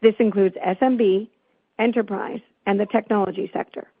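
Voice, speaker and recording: A woman company finance executive reading a scripted earnings call presentation in a formal, measured manner. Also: mid-range voice, steady tone, breathy edge, dry recording. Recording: tinny phone-like conference call line.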